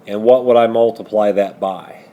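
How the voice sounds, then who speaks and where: A man's voice: lowish voice, fairly even intonation, moderate pace, phone-quality sound. A man working methodically through a math lesson as a teacher, patiently prompting students with questions. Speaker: high school algebra teacher.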